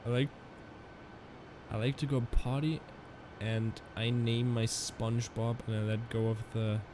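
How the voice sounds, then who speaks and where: A man's voice: medium pitch, little pitch movement, measured pace, noisy background. A man live-streaming a flight simulator, chatting casually with viewers and rambling through fillers and restarts.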